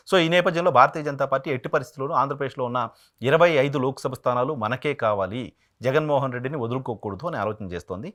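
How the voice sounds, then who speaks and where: Male political commentator, medium-pitched and rapid, emphatic journalist monologue with rhetorical questions. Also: a bit bright. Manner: emphatic, repeats phrases for stress, rhetorical questions. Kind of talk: political analysis monologue to viewers.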